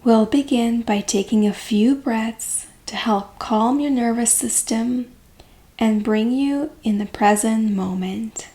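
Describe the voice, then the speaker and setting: A woman leading a guided meditation as a coach, speaking gently and calmly with long, unhurried pauses. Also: fairly high pitch.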